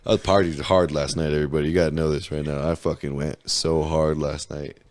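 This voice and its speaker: A hoarse man in his twenties from New Mexico, a podcast guest telling candid, profane stories in heavy slang. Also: rumbling low, flat delivery, fairly quick, a little mellow. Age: 20s. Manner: laid-back, profane, storytelling, heavy slang. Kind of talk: casual candid interview chat.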